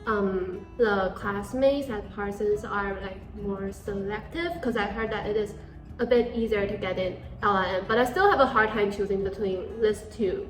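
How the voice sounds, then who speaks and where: A woman's voice: medium pitch, reverberant, rough recording. A woman in her twenties from Taiwan, a non-native English speaker who hosts a casual Q&A and fills her talk with "like". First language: Mandarin Chinese. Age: 20s. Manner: casual, many "like" fillers, switches between Mandarin and English. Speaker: host. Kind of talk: casual Q&A chat.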